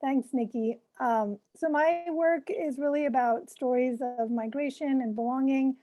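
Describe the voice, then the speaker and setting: A woman who grew up in Pakistan, an artist and mentor, presenting her work in a halting way with many fillers. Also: sits high, mellow.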